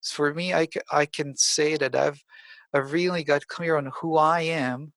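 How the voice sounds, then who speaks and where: A man hosting a podcast, talking reflectively in a measured, halting, conversational way. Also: a little high, fairly even intonation, fairly bright tone, dry recording. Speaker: podcast host. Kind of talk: reflective conversational interview talk.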